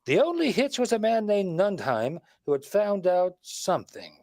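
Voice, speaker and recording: An actor playing a shrewd, wisecracking detective who explains things with confident emphasis, in a 1940s radio mystery style. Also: male voice, sits high, wildly swooping pitch, moderate pace, a little mellow, no room sound, studio quality, exaggerated voice. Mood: confident, explanatory. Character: shrewd, wisecracking male detective laying out a murder case.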